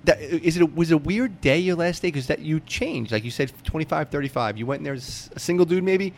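A man's voice: on the high side, fast, gravelly. A man hosting a casual, chatty interview, informal and slangy, circling back to rephrase his questions. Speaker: interviewer, podcast host.

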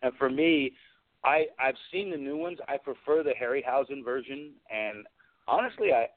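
A man of average pitch speaks over a narrow, phone-like connection on a radio interview. He is an enthusiastic guest who rambles through anecdotes in a conversational way. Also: animated, moderate pace. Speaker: guest, special effects artist and creature performer. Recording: tinny phone-like radio call.

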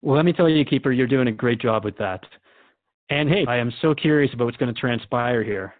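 A man's voice: mid-range voice, fairly quick, dry recording, narrow, tinny audio, close to an everyday voice. A man playing a curious, polite radio host in an audio drama, interviewing in a courteous, conversational way.

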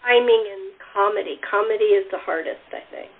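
A woman's voice: medium pitch, brisk, over a noisy crowd. A woman hosting a casual cast interview, speaking effusively and with emphasis over a phone line. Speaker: host and playwright.

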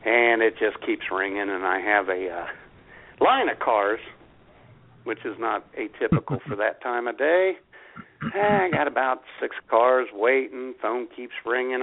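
A man chatting as a podcast co-host, his voice gravelly with some fry. The sound is thin and phone-like. He tells stories in a lively, joking way. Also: medium pitch. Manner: storytelling, animated and joking. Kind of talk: casual chat. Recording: tinny phone-like lo-fi audio.

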